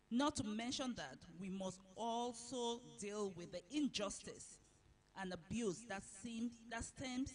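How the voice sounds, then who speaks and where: A woman whose first language is French, delivering a formal prepared keynote address as a conference chairperson in a measured way. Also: medium pitch. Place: conference venue.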